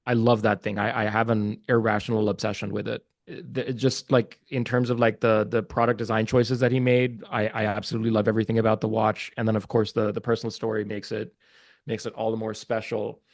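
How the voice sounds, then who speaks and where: A man's voice: fairly low pitch, flat delivery, brisk, dry recording, studio quality. A man from Pakistan whose first language is Urdu, a podcast guest talking casually and earnestly, with many fillers and restarts. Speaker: guest, watch market research business developer. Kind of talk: casual interview chat.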